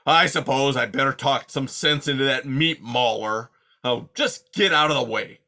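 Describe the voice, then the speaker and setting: A man's voice: mid-range voice, animated, normal speed, sharp tone. A man doing a grumpy old porcupine. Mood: scornful gruff. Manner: gruff, growling, insulting. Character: grumpy old male porcupine, loud and scornful.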